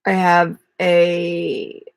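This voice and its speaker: A woman in her forties with a raspy voice, chatting casually and rambling on a live stream from a small camper. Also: fairly low pitch, very slow, dry recording. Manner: relaxed, rambling, self-deprecating. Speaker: vlogger and ukulele player. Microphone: webcam at desk.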